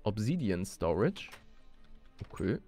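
A man's voice: on the low side, sing-song, slow pace, creaky, warm tone, soft background music. A man hosting a Let's Play, thinking aloud casually in slang peppered with English gaming words. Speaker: gaming video host.

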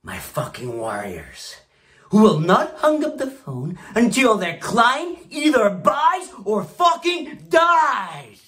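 A man playing a ruthless, bombastic stockbroker boss, delivering a profane motivational monologue in a theatrical way, swinging between hushed and forceful delivery. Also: a little high, big pitch swings, normal speed. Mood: fierce, rousing. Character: ruthless, bombastic male stockbroker boss rallying his sales team. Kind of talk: theatrical film monologue performance. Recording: roomy, echoing room sound.

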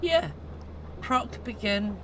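A woman's voice: mid-range voice, lively intonation, drawn-out words, noisy background. A woman streaming a game live, muttering commands aloud and swearing at it. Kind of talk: live gameplay commentary.

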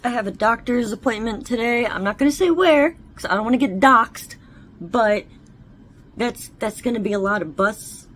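A woman's voice: on the high side, moderate pace, fairly bright tone, a little hiss or hum. A young woman vlogging casually at home, chatty and bubbly with a self-mocking streak. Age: young adult. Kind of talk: casual vlog chat. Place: living room at home. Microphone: phone camera at arm's length.

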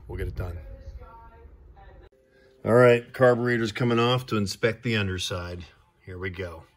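A man's voice: lowish voice, normal speed. Chatty middle-aged man filming himself outdoors. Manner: chatty, self-deprecating, fumbling for words. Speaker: vlogger fixing his classic car. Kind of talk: casual road-trip vlog. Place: outdoors in a sunny desert yard. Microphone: handheld phone camera at arm's length.

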